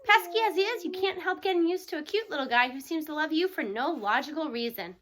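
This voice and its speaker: A woman reading a first-person children's novel aloud in an animated, expressive way. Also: high voice, quick pace. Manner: animated, acting out dialogue. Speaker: audiobook reader.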